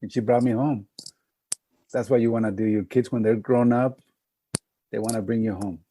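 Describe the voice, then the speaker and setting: A man's voice: medium pitch, steady tone, moderate pace, mellow, slight background noise. A man telling personal stories casually as a podcast guest, in short, punchy sentences.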